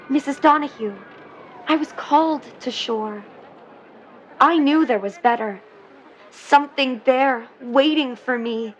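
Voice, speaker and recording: A woman's voice: high voice, highs cut off, slight background noise. A woman playing an earnest, dreamy young mermaid in love, in a 1950s period audio drama, with wistful, lyrical delivery.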